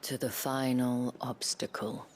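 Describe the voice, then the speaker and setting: A woman voicing a poised, teasing madam in a video game, speaking in a coy, hushed, formal manner. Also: extremely low pitch, level tone, slight background noise. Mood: composed, confiding. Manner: measured, coy, formal phrasing. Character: poised, teasing madam with secretive, seductive charm. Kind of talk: video game cutscene acting.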